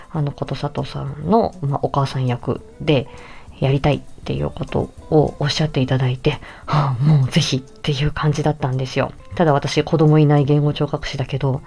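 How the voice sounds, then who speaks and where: Low-pitched, softly mellow woman chatting solo. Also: gravelly, highs cut off. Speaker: voice actor presenting own work. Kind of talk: casual solo announcement talk.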